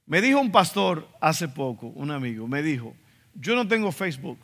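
A man's voice: fairly high pitch, very animated, normal speed, a bit bright. A man with a Dominican accent preaching a sermon as a pastor, emphatic, acting out quotes in asides. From Dominican Republic. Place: church sanctuary. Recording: reverberant church hall.

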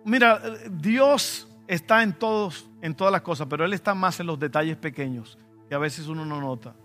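A man's voice: on the high side, animated, moderate pace, fairly bright tone, faint music behind. A male pastor with a Dominican Spanish accent, preaching a conversational sermon with personal stories and sudden emphatic bursts. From Dominican Republic.

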